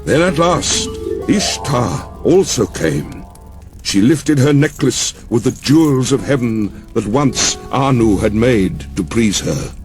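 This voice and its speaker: A male narrator reads a dramatized epic in a solemn, theatrical manner. His pace is measured and his pitch swings widely, with music clearly heard behind him. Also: very animated. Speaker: audiobook narrator.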